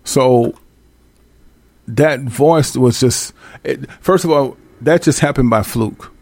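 A man's voice: medium pitch, slow pace, a little mellow, no echo. A man hosting a radio show, chatting casually about making a song, playful and loose, mimicking drum beats and swearing freely. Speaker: radio host and music producer.

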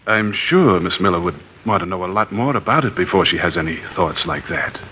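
A man's voice: low-pitched, expressive, fairly quick, sharp tone, a little hiss or hum. An actor playing a ruthless, scheming male cult leader in a 1950s radio drama, grandiose when he preaches and threatening when crossed. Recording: dull lo-fi 1950s radio broadcast.

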